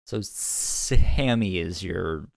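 A man co-hosting a casual podcast chat, speaking haltingly and repeating words as his thoughts form. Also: low voice, vocal fry, a little mellow, no echo, studio quality. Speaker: podcast co-host reviewing an audio drama.

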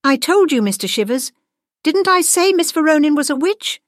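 A woman voicing an excitable, curious schoolgirl in a storybook narration. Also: on the high side, normal speed, no echo, clean studio sound, voice pushed up, lightly acted. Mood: excited.

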